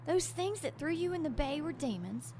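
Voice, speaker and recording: An actress playing a skeptical, unsettled woman companion to an investigator, speaking deliberately in an audio drama. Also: sits high, lively intonation, darkish tone, slight background noise. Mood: troubled.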